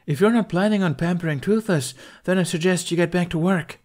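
A man's voice: sits high, fairly quick, a little mellow, pitched above the performer's own voice, close to an everyday voice. A man voicing a bold, commanding young Viking warrior woman in an audiobook, speaking in a strong, assertive manner.

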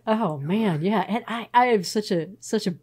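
A woman's voice: medium pitch, normal speed, breathy edge. A middle-aged woman pastor from Texas with a Texan accent, telling stories in a casual podcast interview with many fillers and restarts. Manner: warm storytelling, frequent fillers and restarts.

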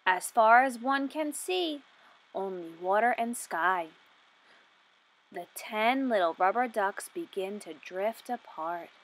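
A woman in her twenties reading a picture book aloud for young children, asking questions and enunciating deliberately. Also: medium pitch, a bit bright, low-quality recording. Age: 20s.

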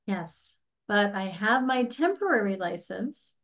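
A woman's voice: mid-range voice, sing-song, a little slow, a bit bright. A woman in her forties playing an exasperated, persistent licence applicant in a staged comedy reading, with a plaintive manner. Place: indoors, on a video call.

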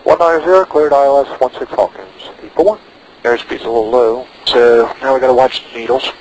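A man's voice: mid-range voice, fairly quick, darkish tone. Male pilot on a noisy cockpit headset. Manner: talks himself through checks in short phrases. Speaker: private pilot. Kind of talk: radio calls and cockpit self-briefing. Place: inside a small propeller plane in flight. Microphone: aviation headset. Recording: noisy cockpit intercom with engine drone.

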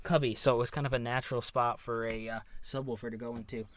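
A young man giving a relaxed walkthrough of a boat, explaining things with casual fillers on a lapel mic. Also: mid-range voice, fast, a little hiss or hum. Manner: easygoing, explains features with fillers. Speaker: co-host giving a boat tour. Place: small boat cabin, outdoors by trees at the end. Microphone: wireless lapel mic.

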